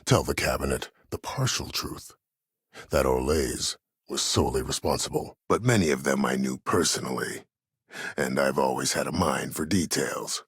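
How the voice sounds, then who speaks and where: An actor playing a cold, calculating older military commander in a video game, speaking in a measured, formal, menacing and deliberate way. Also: male voice, a bass voice, breathy edge, dry recording, studio quality, full character voice. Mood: composed, persuasive. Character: cold, calculating older male imprisoned war commander, imperious schemer. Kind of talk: video game character dialogue.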